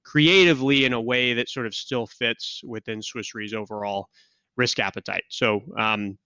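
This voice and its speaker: A man from Kansas City speaking as a podcast guest, joking and relaxed, with many fillers. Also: mid-range voice, moderate pace, clear, resonant tone, no room sound. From Kansas City, USA. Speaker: guest, insurance risk specialist. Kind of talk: podcast interview.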